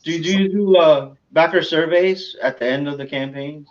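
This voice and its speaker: A man with a slightly high-pitched voice talks in a casual, conversational style. His audio sounds dull and lo-fi. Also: moderate pace, slight background noise. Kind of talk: casual chat.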